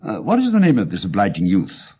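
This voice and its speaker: An actor reading as a cool, amused gentleman detective with an RP English accent and a dry, clipped manner. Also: fairly low pitch, wildly swooping pitch, brisk, breathy edge, voice pushed down, full character voice. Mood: urbane, inquisitive. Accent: English, Received Pronunciation.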